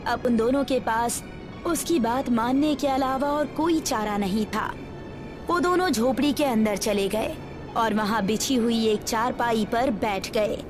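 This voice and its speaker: A woman narrates a scripted horror tale. Her voice sits a little high, and music plays beneath the narration. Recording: music behind the voice.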